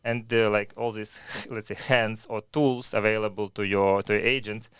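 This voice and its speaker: A man with phrasing, a telecom expert explaining in measured tones on a podcast. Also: on the low side, level tone, fairly bright tone, smooth, no room sound, clean studio sound. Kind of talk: conversational podcast discussion.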